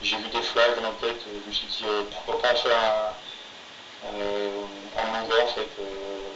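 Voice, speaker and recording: A man co-hosting a casual podcast who tells stories haltingly, with many fillers. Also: on the low side, monotone, background noise. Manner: halting, filler-heavy storytelling. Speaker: podcast co-host, manga artist. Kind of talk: casual chat. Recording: roomy, distant, dull lo-fi sound.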